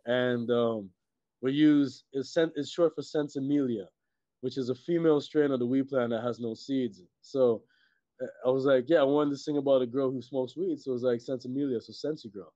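A middle-aged man from Trinidad and Tobago chatting casually as an interview guest, halting and repeating words, with many "you know"s. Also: mid-range voice, fairly even intonation, mellow, no echo. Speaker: guest, filmmaker and musician. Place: indoors on a video call. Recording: muffled, dull video-call audio.

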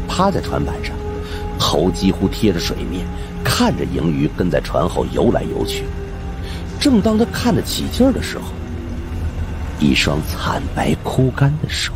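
A man narrating supernatural suspense fiction as a dramatic audiobook reader. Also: deep voice. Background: background music.

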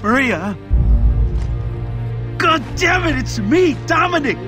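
Anguished soldier, slow and swooping. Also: male voice, extremely high pitch, big pitch swings, exaggerated voice. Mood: anguished, pleading. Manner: emotional, halting, pleading. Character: anguished, battle-worn soldier searching for his lost wife. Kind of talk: dramatic video game acting. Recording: loud background music.

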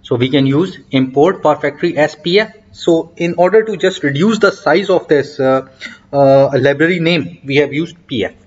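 A man giving a step-by-step software tutorial over a screen recording, talking in an explanatory way with frequent hesitant fillers. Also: on the high side, moderate pace. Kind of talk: screen-recorded coding walkthrough. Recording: dull lo-fi mic.

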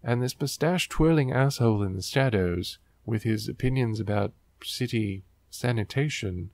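A man's voice: mid-range voice, moderate pace, a little mellow, dry recording. A man hosting a book riffing show, reading aloud and commenting in a sarcastic, wry, exasperated way.

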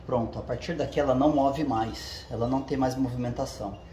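A man walks his viewers through a hands-on job one step at a time, pausing often to check that they are following. His voice sits at an ordinary pitch. It rings off the bare walls of a garage, over a faint background hum. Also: steady tone, dark tone. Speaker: channel host. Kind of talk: casual how-to demonstration. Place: home garage with the door open. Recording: echoey garage.

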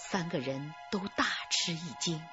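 A woman's voice: sits low, moderate pace. A woman narrating a crime drama with dramatic, scripted delivery. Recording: slightly dull recording over music. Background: music.

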